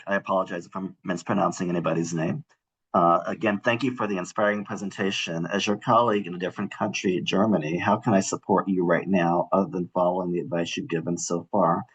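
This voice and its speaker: A man moderating a webinar, reading audience questions aloud in a plain, even way with small "uh" fillers. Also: deep voice, fairly quick, slightly rough, poor audio.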